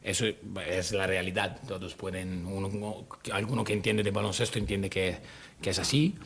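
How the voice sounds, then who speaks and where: A man with an Italian accent, a basketball coach answering press questions haltingly in a second language, hunting for words. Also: sits low, steady tone, vocal fry, a bit bright, slight background noise. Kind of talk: post-game press conference answers. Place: press room.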